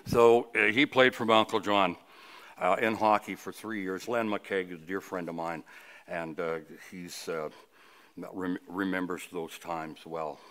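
A man of sixty-nine from Canada delivering a funeral sermon at a lectern, reflective and halting, with personal reminiscence. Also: sits low, steady tone, fairly bright tone. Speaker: funeral officiant, preacher. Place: indoors at a funeral home, at a wooden lectern. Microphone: lectern microphone.

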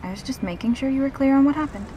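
A woman playing a gentle, reassuring revenant in an intimate audio roleplay, speaking earnestly and haltingly. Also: high-pitched, a little hiss or hum, lightly acted. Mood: patient, earnest. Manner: halting, earnest, soft-spoken pauses.

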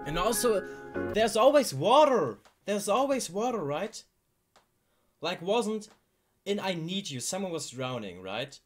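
A man's voice: high voice, big pitch swings, unhurried. A man in his twenties from Germany giving a casual, exclaiming reaction commentary, often questioning himself. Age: 20s. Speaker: host of a reaction channel. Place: small indoor room with shelves, at a desk. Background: music from the video he watches.

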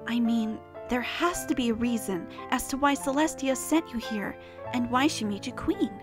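A woman playing a devout, protective pony mare who captains the guard, speaking with earnest, solemn care in an animated fan drama. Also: fairly high pitch, moderate pace, music in the background, lightly acted. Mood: searching.

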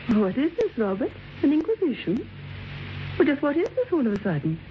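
Haughty wife, wildly swooping voice. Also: female voice, high-pitched, huge pitch swings, brisk, slight background noise. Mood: indignant. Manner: arch, indignant. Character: defensive, haughty wife mocking her husband. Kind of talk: old radio drama acting. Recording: dull vintage radio recording, music behind.

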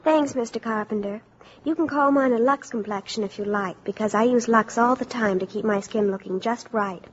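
A young actress speaking as a guest in a scripted 1950s radio interview. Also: female voice, on the high side, normal speed, a little hiss or hum. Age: young adult. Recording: dull 1950s radio recording.

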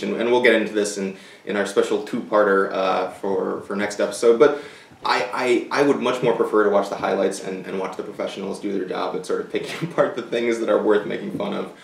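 A young man co-hosting a casual chat, explaining things in a measured, hedging way. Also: lowish voice, fairly even intonation, creaky. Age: young adult. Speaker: co-host. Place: small room indoors, seated side by side. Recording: roomy echo, mic at a distance.

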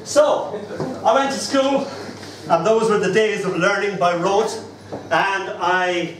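An older man with an Irish accent performs live as a poet and host. His voice sits high and he speaks in a theatrical, rambling storyteller's way. It is picked up from a distance in a roomy space. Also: takes it slowly. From Ireland. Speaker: poet hosting an open mic. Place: small indoor venue stage. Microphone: far camera. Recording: roomy, noisy, distant camera recording.

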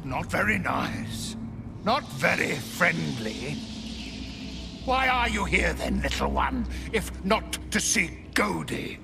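Creaky ghoulish game servant, very slow drawl, menacing, childish, deranged, music behind. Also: male voice, fairly high pitch, big pitch swings, heavily acted. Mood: gloating, menacing. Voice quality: creaky, gravelly. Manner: drawling, refers to self in third person, gloating. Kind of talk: video game acting.

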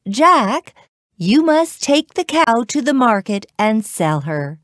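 A woman voicing an excitable, scolding mother in a children's storybook, speaking in exclamations. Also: fairly high pitch, slow pace, dry recording, clean studio sound, pitched above the performer's own voice, heavily acted. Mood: bossy. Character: poor, excitable, scolding mother of young boy.

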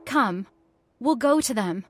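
An actress playing an earnest, emotional young heiress with frightened idealism in a radio horror drama. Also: female voice, on the high side, animated, takes it slowly, soft background music. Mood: earnest, resolute.

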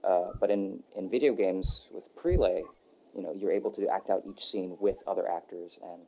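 A young adult man answering interview questions in a relaxed, rambling way, with many fillers and restarts. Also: sits low, normal speed, slight background noise, low-quality recording. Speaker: voice actor guest. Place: Texas.

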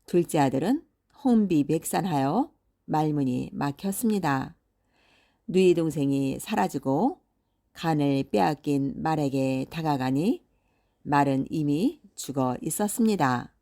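A woman tells a bedtime folktale in a soft-edged, very mellow voice, a little low in pitch. She takes her time with the scripted lines, measured and deliberate. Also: lively intonation. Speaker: storyteller.

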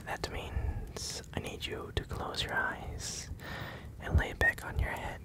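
A male werewolf captor, played by a man, whispers in an intimate ASMR roleplay. He takes his time, giving soothing instructions with small hesitations, calmly in control and close to the microphone. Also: slow pace, close to an everyday voice. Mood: coaxing, calm.

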